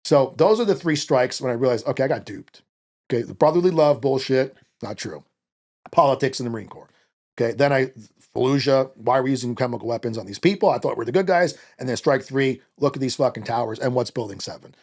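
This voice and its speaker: A man's voice: medium pitch, quick pace. A middle-aged man from the United States telling personal stories as a podcast guest, casual, blunt and profane, acting out dialogue. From United States, born in Italy. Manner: animated, blunt, profane, acts out quoted dialogue. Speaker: podcast guest, former Marine. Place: small podcast studio, indoors. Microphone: close podcast microphone on a boom arm.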